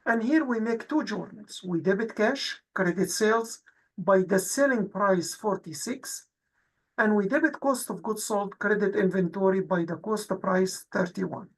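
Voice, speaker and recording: Female instructor, mid-pitched and husky, methodical explanatory delivery for a tutorial. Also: measured pace, rough recording. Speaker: accounting instructor. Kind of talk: explanatory tutorial narration over exercises.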